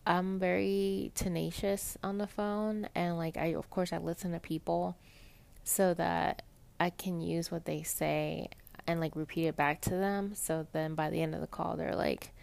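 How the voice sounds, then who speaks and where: A young woman talks in a soft, pleasant voice, rambling casually through her week with plenty of fillers. Her tone sits low and carries a gravelly fry. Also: steady tone, unhurried. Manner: chatty, rambling, many fillers. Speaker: podcast host. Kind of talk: casual personal monologue.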